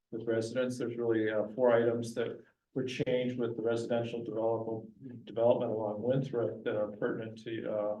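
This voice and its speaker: A man's voice: mid-range voice, mellow, recorded from a distance. A man at a public meeting laying out who will speak and when, in a plain, practical way. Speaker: design consultant at a meeting. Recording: dull, echoey, from off the mic.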